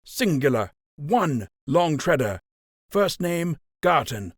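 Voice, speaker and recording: A man's voice: fairly high pitch, very animated, slightly rough, no room sound, studio quality, pitched above the performer's own voice, heavily acted. A man voicing a proud, sardonic older rabbit. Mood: rising anger. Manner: measured, formal, sardonic. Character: older gray male rabbit, calm, proud, cutting wit.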